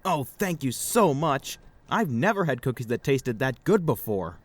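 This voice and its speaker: A woman's voice: a bass voice, big pitch swings, measured pace, exaggerated voice. A woman voicing a polite, grateful young male zebra artist in an audio story. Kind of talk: character acting in an audio story.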